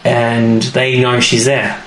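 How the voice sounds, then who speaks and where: A male podcast co-host retells a story in a loose, conversational way, pausing and restarting with small asides. His pitch sits in the middle. The room is echoey, with faint noise behind him. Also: monotone, a bit bright. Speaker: podcast co-host recapping a TV episode. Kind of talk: casual chat, episode recap. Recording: roomy echo, faint background noise.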